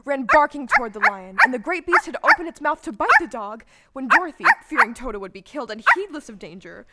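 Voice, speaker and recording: A woman's voice: sits high, very animated, fast talker, heavy background noise. A woman narrator telling a children's story dramatically as part of an audio play. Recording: music behind the voice. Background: music.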